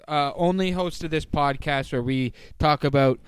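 A man's voice: fairly high pitch, normal speed, clear, resonant tone, no room sound. A man hosting a podcast, chatting casually and rambling with frequent self-corrections. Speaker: podcast host. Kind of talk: casual chat.

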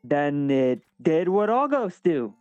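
A pompous, status-minded job applicant with a fairly high male voice. His formal, pointed phrasing slips into stammers in this comedic audio drama. The sound is dry and a little dull. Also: very animated, slow pace, darkish tone, no echo, faint music behind. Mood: evasive, bluffing.

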